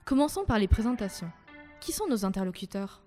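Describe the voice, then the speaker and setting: A woman's voice: medium pitch, expressive, fairly quick, in a large hall, music in the background. Teenage girl co-hosting, reading a script. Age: teens. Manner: reads a prepared script. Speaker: student co-host. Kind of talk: scripted introduction.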